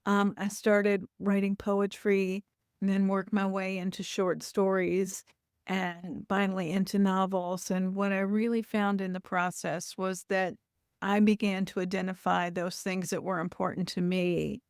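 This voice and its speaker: Clear-voiced woman in her sixties. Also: mid-range voice, flat delivery, unhurried, no room sound, clean studio sound. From Louisville, United States. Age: 60s. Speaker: author, interview guest. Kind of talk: reflective interview talk.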